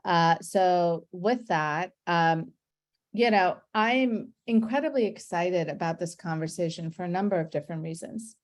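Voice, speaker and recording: Woman, from Iran, middle-aged, lowish voice, effusive moderator, video-call audio. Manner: effusive, emphatic, unhurried with pauses. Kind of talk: moderating an online book discussion. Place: online event over video call.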